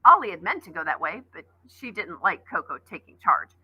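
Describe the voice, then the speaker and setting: A woman's voice: lowish voice, big pitch swings, moderate pace, pushed and bright, slightly rough, low-quality recording. A woman teacher reading a novel aloud expressively, adding brief asides.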